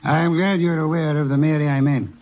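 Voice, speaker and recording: An actor playing a shrewd, heavyset older private detective in a radio drama, speaking formally and precisely with dry, imperious sarcasm. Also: male voice, fairly high pitch, steady tone, a little fast, close to an everyday voice. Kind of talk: old-time radio drama acting. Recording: dull, muffled old radio recording.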